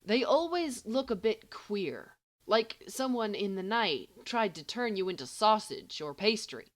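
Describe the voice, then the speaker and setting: A woman reading a story as scripted narration, expressively and with deliberate, emphatic phrasing. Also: medium pitch, lively intonation. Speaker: audiobook reader of fan fiction.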